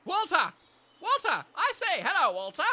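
A man playing a pompous, excitable village major with a flowery manner and an upper-class English accent in a comic audio drama. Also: extremely high pitch, normal speed, full character voice. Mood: jovial.

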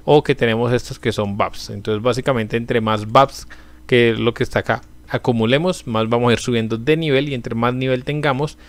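A young man speaks in a middle, unremarkable pitch, walking through a website step by step. His delivery is casual and explanatory, sprinkled with everyday fillers. He sounds close to the mic in a small room. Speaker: channel host. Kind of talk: screen-recorded tutorial walkthrough.

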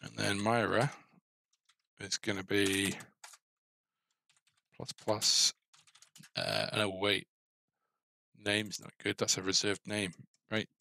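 A man's voice: fairly low pitch, level tone, takes it slowly, vocal fry, pauses often, pushed and bright, dry recording. A middle-aged man giving casual, mildly grumbling, self-deprecating commentary as he codes a game, on a headset mic. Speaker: game developer.

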